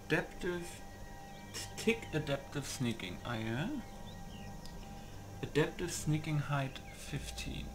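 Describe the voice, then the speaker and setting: A man's voice: on the high side, slow pace, pauses often. A man giving casual commentary while setting up a game, muttering to himself and reading settings aloud in clipped phrases. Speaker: gaming video host. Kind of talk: casual gameplay setup commentary. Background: music.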